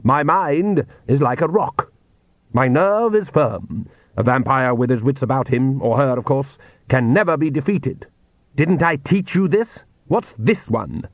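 A man's voice: mid-range voice, animated, unhurried, no echo, narrow, tinny audio. A man voicing an arrogant vampire count and domineering father, lecturing in a pompous, imperious way. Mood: smug, lecturing.

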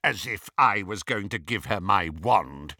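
Man acting a pompous, drawling dark wizard. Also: on the low side, expressive, measured pace, no room sound, clean studio sound, full character voice. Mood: disdainful. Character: suspicious, snobbish male dark wizard, disdainful and formal. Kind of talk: audiobook character voice.

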